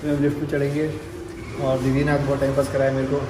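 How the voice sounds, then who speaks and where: A man's voice: medium pitch, monotone, quick pace. A young adult Indian man chatting casually and teasingly to his vlog viewers, mixing in English words. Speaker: vlogger. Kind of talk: casual vlog chat in Hindi-English mix. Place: busy malls and night food courts. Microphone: phone at arm's length. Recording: noisy outdoor crowd and traffic ambience.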